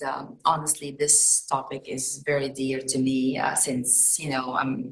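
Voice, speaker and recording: A woman in her forties who grew up in Egypt speaks to a community gathering. Her voice sits low for a woman, and she talks in a loose, chatty way with plenty of fillers. The sound carries a roomy echo from the meeting room. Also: fairly bright tone. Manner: chatty, uses "right?" and "um" often. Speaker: forum speaker. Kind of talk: informal presentation to a community audience.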